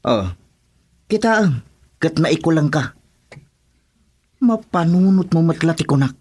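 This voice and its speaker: Brief: calculating henchman voiced by a man, husky, lively pitch, radio drama. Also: unhurried. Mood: cautious. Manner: calm, warning. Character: cautious calculating henchman, warning.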